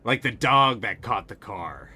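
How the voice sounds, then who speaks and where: A man's voice: on the low side, big pitch swings, unhurried, bright, forceful tone, a little hiss or hum. A man streaming a story game, reading its text aloud and chatting casually, swearing freely.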